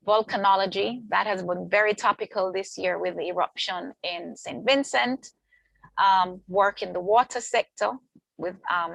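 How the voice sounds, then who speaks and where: A woman lecturer giving an online orientation talk over a video call. Her voice has a gravelly, fry-tinged edge, and the sound is close and dry. She lists points steadily, pausing now and then with "um". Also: mid-range voice, fairly bright tone, dry recording, poor audio. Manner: measured, list-like delivery with frequent ums. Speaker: university lecturer presenting her department.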